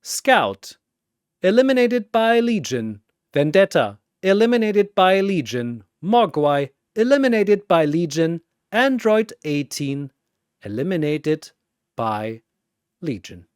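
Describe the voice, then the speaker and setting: A man narrating a dramatic battle story in a theatrical, measured way, with pauses between short phrases. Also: high-pitched, sing-song, clear, resonant tone, dry recording, studio quality.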